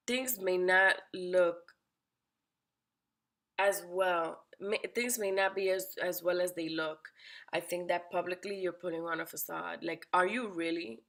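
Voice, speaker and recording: A woman giving a weekly tarot horoscope reading, direct and questioning, her voice raspy from being under the weather. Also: lowish voice, a bit bright. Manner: direct, questioning, addresses the listener by sign. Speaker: tarot reader.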